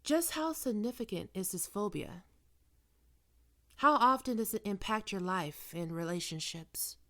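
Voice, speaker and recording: Female psychiatrist, played by a woman, ASMR roleplay. Medium pitch, measured clinical delivery, probing and quietly controlling. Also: lightly acted. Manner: measured clinical questioning with deliberate pauses. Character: female psychiatrist, probing, smoothly manipulative and coercive. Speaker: voice actor performing a roleplay.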